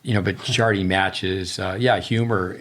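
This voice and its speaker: A middle-aged man with a very low voice, co-hosting a casual tennis podcast. He rambles and rattles off ideas in quick lists. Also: steady tone, a bit bright. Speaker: tennis coach, podcast co-host.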